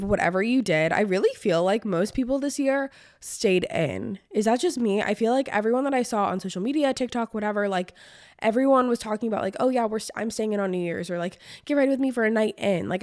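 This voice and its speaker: A young woman hosting her own podcast in casual solo chat, her voice hoarse from a cold, talking with lots of "like" and "um". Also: medium pitch, no room sound, clean studio sound. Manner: chatty, lots of "like" and "um". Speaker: podcast host.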